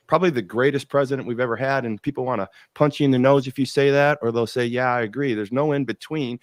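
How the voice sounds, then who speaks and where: A man's voice: medium pitch, no room sound, studio quality. A man hosting an interview podcast, talking enthusiastically and rambling with many restarts. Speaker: podcast host. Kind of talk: interview host, casual chat.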